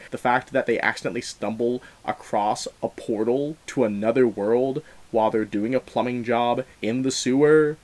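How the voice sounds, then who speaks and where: Chatty, enthusiastic man reviewing films. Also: mid-range voice. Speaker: host of a film review show. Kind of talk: casual opinionated film review to viewers.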